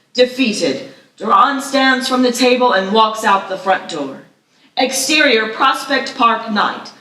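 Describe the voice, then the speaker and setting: A woman's voice: fairly high pitch, bright, forceful tone. A woman reading scripted stage directions aloud at a live screenplay table read. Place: live festival stage reading in echoey room. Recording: echoey room, slightly distant mic.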